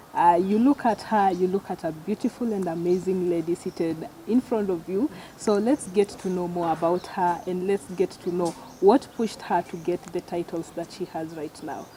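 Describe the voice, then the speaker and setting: Casting: female talk host, soft dark tone on the lower side, conversational, with some ambient noise behind her. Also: normal speed, low-quality recording. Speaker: interview show host. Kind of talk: conversational interview hosting.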